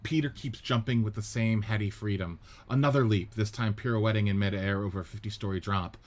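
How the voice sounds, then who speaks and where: A man narrating the stage directions of a radio play script in a steady, descriptive reading. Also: on the low side, brisk.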